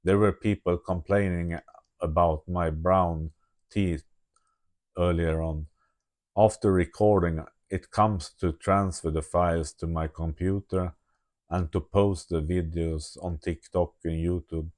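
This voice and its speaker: A man with a deep, low voice and a Swedish accent reads his own written text aloud in English. He goes slowly and carefully and corrects small slips as he goes, in a diary-like personal vlog recorded on a phone with an add-on microphone. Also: steady tone, a little mellow. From Sweden. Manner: slow, careful reading with self-corrections. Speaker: vlogger. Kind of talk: reading a prepared diary monologue. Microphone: phone with external microphone.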